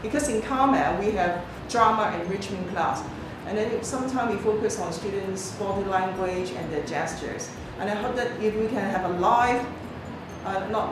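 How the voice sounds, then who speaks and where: A woman giving interview answers as a drama teacher, speaking haltingly and repeating words. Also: medium pitch. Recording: distant, echoey, noisy room recording.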